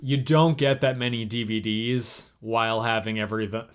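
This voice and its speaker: A man's voice: mid-range voice, fairly even intonation, normal speed, smooth. A young man co-hosting a casual chat, rambling loosely and filling his sentences with "like.". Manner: rambling and loose, with frequent "like" and restarts. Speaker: podcast co-host.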